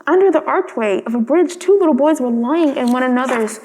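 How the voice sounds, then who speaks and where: A woman's voice: high voice, lively intonation, a little fast, darkish tone, slight background noise. A woman reading a children's story aloud as scripted narration. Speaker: storybook reader. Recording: long hall-like reverb.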